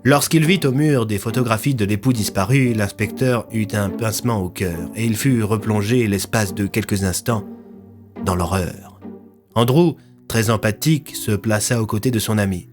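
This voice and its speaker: A man's voice: on the low side, moderate pace, darkish tone, soft background music. A man narrating a scripted audio fiction as its storyteller.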